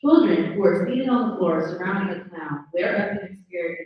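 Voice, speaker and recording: A woman's voice: on the low side, expressive, normal speed. A woman reading scripted stage directions as narrator at a live table read. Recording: very echoey room, distant dull mic.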